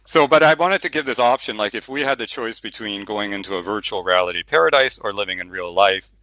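A man's voice: fairly low pitch, animated, fairly quick, sharp tone, no room sound, poor audio. A middle-aged man, a novelist, talking casually about his book in an interview with many fillers and restarts, then reading a passage aloud. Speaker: novelist guest.

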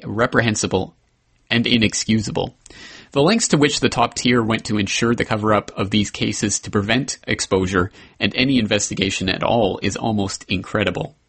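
Guy reading a book out loud on his show, kinda husky, lowish voice, steady and earnest. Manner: earnest, measured reading with occasional slips and restarts. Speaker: podcast host reading a memoir excerpt. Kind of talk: reading a book excerpt aloud.

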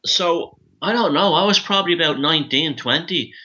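Bright-toned Dublin man on a dull line. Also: on the high side, moderate pace, husky. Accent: Dublin Irish. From Ireland. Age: 50s. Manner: animated storytelling with stumbles and restarts. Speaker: guest. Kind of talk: conversational interview.

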